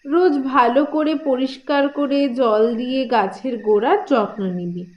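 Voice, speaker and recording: A bossy young elder sister from a cartoon , acted by a woman. Her voice is high and swings theatrically as she coaxes and schemes. The recording sounds slightly dull and lo-fi. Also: expressive, moderate pace. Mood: bossy coaxing. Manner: sly, coaxing, theatrical. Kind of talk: cartoon voice acting in a moral tale.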